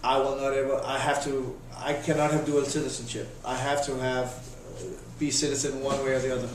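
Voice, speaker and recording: A Danish-accented man in his thirties talks in an even, flat way, stopping often to restart his sentences. His voice sounds quite far from the mic, with strong echo in the room and a faint hiss behind it. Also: mid-range voice. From Denmark. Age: 30s. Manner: halting, many restarts and fillers. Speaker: interviewee, volunteer volleyball coach. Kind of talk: casual interview answers. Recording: echoey room, voice from a distance.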